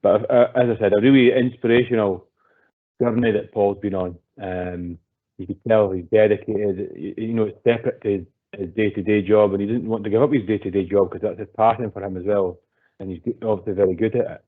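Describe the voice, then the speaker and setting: A man with a lowish voice hosts an online masterclass, thanking the guest and asking long, friendly, rambling questions padded with ums and you knows. His sound is thin and tinny, like a phone line. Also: fairly even intonation, a little fast. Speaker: event host.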